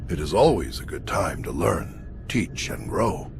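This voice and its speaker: An actor playing a wise, patient old Jedi master in a video game, speaking in a measured, solemn, teacherly way with a gravelly voice. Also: male voice, deep voice, sing-song, music in the background, exaggerated voice. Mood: patient, instructive. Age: older.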